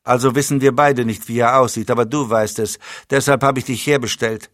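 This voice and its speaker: A man voicing a calm, commanding, bone-dry spymaster who speaks in curt, stern orders. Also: mid-range voice, brisk, no room sound, lightly acted.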